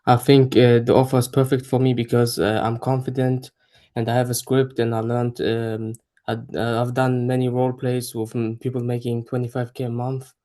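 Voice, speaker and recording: A man's voice: mid-range voice, level tone, normal speed, mellow. A man briefly introducing himself over a video call in short, plain statements. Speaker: student on a call. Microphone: video call mic.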